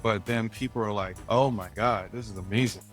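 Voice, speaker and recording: A man's voice: lowish voice, fairly even intonation, brisk, faint music behind. A man hosting an interview, asking long, rambling questions in a casual and affirming way. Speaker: host.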